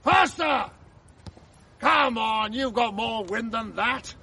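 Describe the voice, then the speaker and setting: An actor playing a middle-aged English schoolmaster, blustering, clipped and teasing, in a radio drama. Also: male voice, extremely high pitch, animated, slow pace, gravelly, very noisy, full character voice. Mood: bellowing. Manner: clipped, bantering, mock-stern, old-fashioned.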